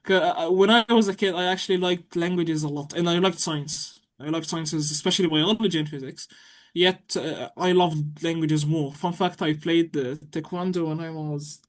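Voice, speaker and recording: A man's voice: a little high, moderate pace. A young man from Egypt, an Arabic speaker, talking eagerly and at length as a student guest on a video call. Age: young adult. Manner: chatty, enthusiastic, repeats words when excited. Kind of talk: casual interview chat.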